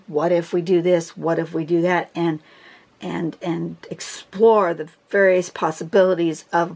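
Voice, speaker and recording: A woman with a hoarse voice from a cold and laryngitis, talking casually through a drawing tutorial, chatty and rambling with fillers and throat clearing. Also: lowish voice. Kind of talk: casual instructional talk. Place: indoors at a table, filming a phone screen.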